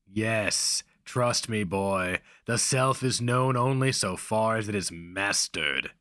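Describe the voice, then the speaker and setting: A man's voice: fairly low pitch, takes it slowly, a bit bright, dry recording, studio quality. A man voicing a dismissive old slaver who lectures with spitting scorn in a fantasy story. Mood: insistent.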